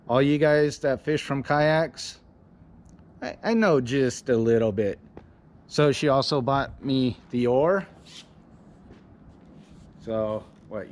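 Excited man vlogging outdoors. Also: mid-range voice. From Illinois, USA. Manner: upbeat, chatty, asks viewers for advice. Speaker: fishing channel host. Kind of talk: casual vlog to camera. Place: outdoors in front of a house garage. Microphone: camera at arm's length.

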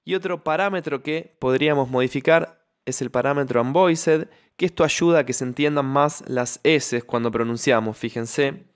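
A young man explaining music software in a tutorial, close on the mic in a home studio. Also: medium pitch, normal speed. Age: young adult. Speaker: music production tutorial host. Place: small home studio with foam panels. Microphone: close studio microphone on a stand, with headphones.

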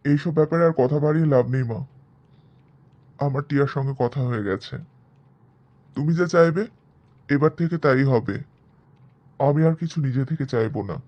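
A man's voice: medium pitch, normal speed, pauses often, dull audio, lightly acted. A man voicing an earnest, wounded grown-up son, a young village doctor, in a dramatic cartoon story. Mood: resolute. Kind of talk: Bengali cartoon story dialogue.

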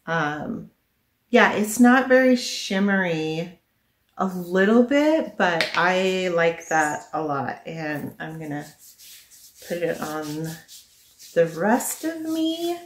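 A woman's voice: on the low side, big pitch swings, slow, a little hiss or hum. A middle-aged woman chatting casually to the camera in her living room, thinking aloud as she reviews products. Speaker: vlogger presenting a product haul. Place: small living room at home, indoors. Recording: echoey home living room.

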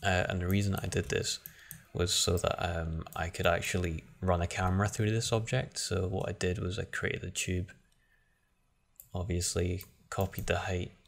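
Male tutorial host, deep and gravelly, flat delivery, casual think-aloud style. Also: a little hiss or hum. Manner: relaxed, thinking aloud with frequent restarts. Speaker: software tutorial instructor. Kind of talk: screen-recorded software tutorial.

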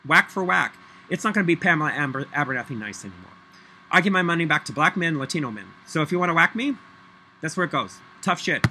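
A man's voice: on the high side, animated, fast, a little hiss or hum. A middle-aged man ranting angrily and rambling into a webcam at home, swearing often and breaking into songs. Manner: angry, profane, rambling; breaks into loud off-key singing. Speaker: vlogger. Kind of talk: rambling webcam monologue with singing. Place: small room at home, indoors. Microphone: laptop webcam.

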